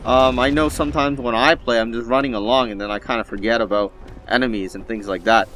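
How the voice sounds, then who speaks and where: A man talks casually through a mobile game as a video host, at an average pitch. His delivery is conversational and rambling, with faint game ambience behind him. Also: fairly even intonation, fast talker, fairly bright tone, a little hiss or hum, low-quality recording. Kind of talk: casual gameplay commentary.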